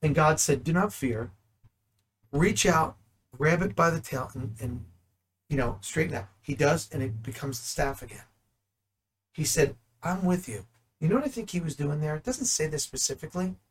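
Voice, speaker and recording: A man in ministry teaching the Bible in conversation, animated and full of rhetorical questions. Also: a little high, moderate pace, breathy edge. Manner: animated, asks rhetorical questions, acts out dialogue.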